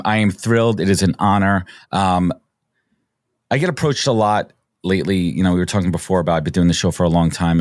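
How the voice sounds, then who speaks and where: A man's voice: low-pitched, a little mellow, dry recording, clean studio sound. A man hosting a business podcast, enthusiastic, often restarting and repeating words. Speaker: podcast host. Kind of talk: casual interview chat.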